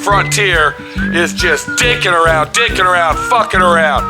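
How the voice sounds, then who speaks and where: A man in his fifties with a raspy voice, ranting and swearing nonstop as he shows work in his auto body garage. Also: high voice, normal speed, over music. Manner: heavy swearing, pissed-off ranting. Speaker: custom auto body shop owner. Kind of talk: angry workshop vlog. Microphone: camera held close at arm's length.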